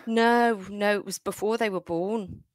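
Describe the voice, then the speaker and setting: A woman co-hosting a comedy podcast, teasing with quick interjections from a distance. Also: medium pitch, steady tone. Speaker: podcast co-host. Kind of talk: casual comedic chat.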